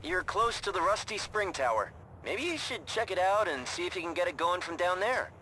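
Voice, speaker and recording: An actor playing a weary survivor in a video game, speaking in an earnest, halting way. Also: male voice, sits high, expressive, background noise. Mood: helpful. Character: weary, grieving survivor lending help. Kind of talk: naturalistic video game voice acting.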